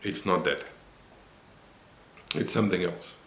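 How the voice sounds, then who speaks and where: Deep-voiced older Afrikaans-man. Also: a bit bright, reverberant. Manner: unhurried, reflective, many pauses and fillers. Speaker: vlogger. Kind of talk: personal health vlog.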